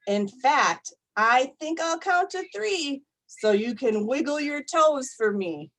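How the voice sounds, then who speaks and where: A woman reading picture books aloud to young children, expressive and deliberate, often putting questions to her listeners. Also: high-pitched. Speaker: storytime reader for young children. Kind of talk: animated picture-book read-aloud to children.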